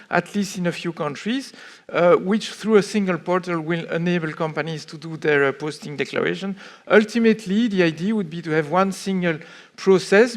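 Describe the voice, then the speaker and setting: A man answering on a conference panel in a fairly high voice. He talks haltingly, stopping often for fillers and starting phrases over. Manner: hesitant, many fillers and restarts. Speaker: panelist from a public authority.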